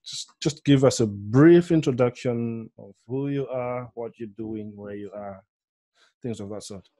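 A middle-aged man acts as interviewer on a video call. His voice is medium in pitch and mellow in tone, and he asks short, open questions. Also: a little slow. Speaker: interviewer, journal editor. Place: indoor office. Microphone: headphones on a video call.

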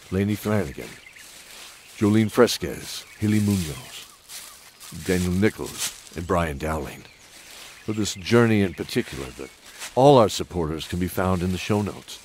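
A man's voice: deep voice, animated, normal speed, darkish tone, a little hiss or hum. A man playing a kindly, weary old knight who introduces an audio drama in a hesitant, musing way. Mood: warm, musing.

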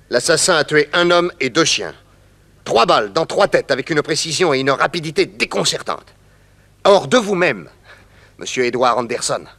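An actor playing a shrewd, self-assured detective in a radio serial, with formal, precise diction. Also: male voice, mid-range voice, fairly bright tone. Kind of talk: old French radio serial acting.

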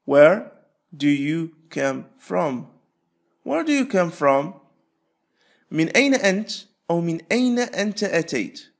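A man teaching English, repeating short phrases slowly and switching to quick explanations. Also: on the high side, big pitch swings, vocal fry. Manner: repeats phrases slowly, stretching words apart; explains rapidly between drills. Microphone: voice-over.

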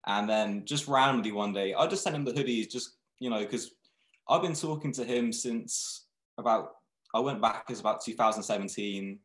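A young man who founded a clothing brand, talking in a relaxed, rambling way with many restarts on a video call from a small room. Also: on the low side, moderate pace. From Thailand, raised in England. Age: young adult. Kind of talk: casual video-call interview. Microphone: webcam video call. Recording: echoey room.

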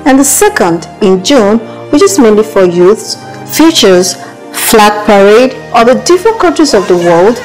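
A woman's voice: medium pitch, darkish tone, low-quality recording. A woman reading scripted promotional narration for a documentary.

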